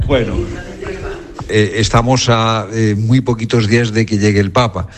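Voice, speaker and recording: A man's voice: on the low side, fairly even intonation, normal speed. A man, reporting and interviewing on location, hesitating often with filler sounds.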